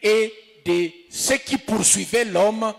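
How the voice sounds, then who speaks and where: A man preaching as a pastor in short, emphatic phrases, pausing for an interpreter and repeating key phrases. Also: high voice, vocal fry, soft background music. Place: large reverberant indoor room, preaching to a congregation. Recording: reverberant hall.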